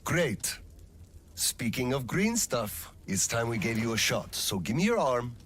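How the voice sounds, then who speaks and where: Weary, principled colonel, lively intonation. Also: male voice, mid-range voice, measured pace, slight background noise. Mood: tired, fatherly. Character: weary, principled middle-aged male colonel, a gentle father. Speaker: video game character. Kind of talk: cinematic game dialogue acting.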